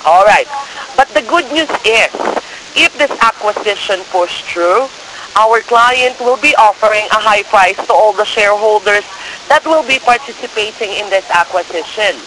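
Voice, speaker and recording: A man with non-native English phrasing giving a persistent, partly scripted telephone sales pitch, often checking that the listener follows. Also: normal speed. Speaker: cold-call share scammer posing as broker. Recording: noisy phone call with crackly hiss.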